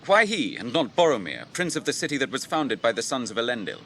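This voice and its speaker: An English actor playing a stern, noble warrior captain in a radio drama, with a grave, deliberate, declamatory delivery. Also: male voice, mid-range voice, big pitch swings, a bit bright, a little hiss or hum. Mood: suspicious. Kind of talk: BBC radio drama acting.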